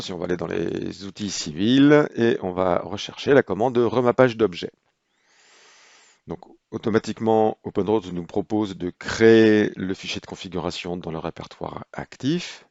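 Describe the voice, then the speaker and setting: A man calmly walking through a software procedure in a tutorial, pausing often with hesitations as he explains each step. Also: deep voice, creaky, no room sound, dull audio. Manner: unhurried, explanatory, frequent hesitations. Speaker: software trainer. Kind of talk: screen-recorded software tutorial.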